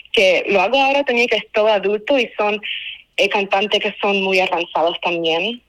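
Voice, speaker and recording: A woman's voice: mid-range voice, a bit bright, rough recording. A young woman from Spain with a Cuban accent, answering interview questions in an earnest, wordy, self-correcting way. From Spain, raised in a Cuban family. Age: young adult. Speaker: student award winner being interviewed.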